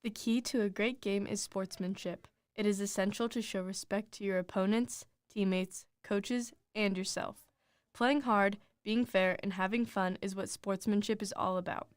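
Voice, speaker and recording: A woman's voice: medium pitch, fairly even intonation, moderate pace, clean tone, no echo. A woman reading a scripted public service announcement.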